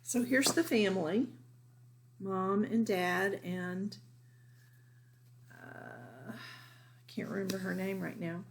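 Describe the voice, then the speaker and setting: A woman reading a picture book aloud as a teacher, deliberate and emphatic, for young children. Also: medium pitch. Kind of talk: picture-book read-aloud with informal asides.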